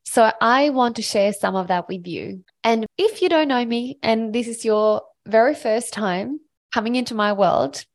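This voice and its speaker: A woman's voice: on the high side, measured pace. A woman from Sweden hosting her own podcast, telling a personal story in an enthusiastic, motivational, chatty way. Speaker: podcast host.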